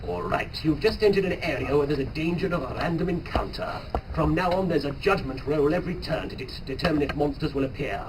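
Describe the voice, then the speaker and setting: A man's voice: fairly high pitch, lively intonation, quick pace. A man narrating a scripted story as a live dramatic reading, picked up from a distance on a noisy recording. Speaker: narrator and reader.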